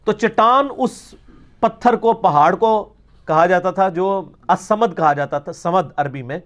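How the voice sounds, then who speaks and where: A man's voice: sits high, fairly bright tone. A man with a Pakistani Punjabi accent giving an informal religious question-and-answer talk, explaining emphatically in colloquial speech and checking that the listener follows.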